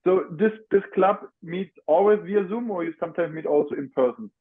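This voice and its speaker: A man's voice: high voice, brisk. A man from Germany with a German accent, politely greeting a club as guest speaker on a video call. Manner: polite, thanks often. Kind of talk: casual video call chat. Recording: tinny phone-like video call.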